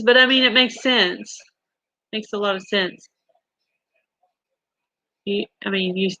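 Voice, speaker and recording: A woman's voice: medium pitch, sharp tone, no echo, dull audio. A middle-aged woman with a Texan accent, hosting a live stream and telling stories in a chatty way with fillers and restarts. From Texas. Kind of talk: casual chat reading viewer comments.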